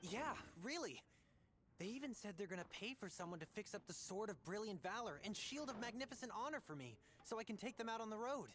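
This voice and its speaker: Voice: mid-range voice, a little fast, slight background noise, close to an everyday voice. An actor voicing an eager, kind young boy who wants to be an adventurer, youthful and earnest, in a video game. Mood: excited, grateful. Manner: earnest, animated.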